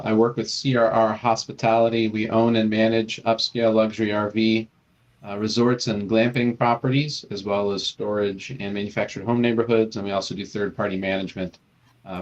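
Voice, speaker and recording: A man introducing himself as a business executive on an online panel, brisk and businesslike. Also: lowish voice, steady tone. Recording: dull lo-fi call audio.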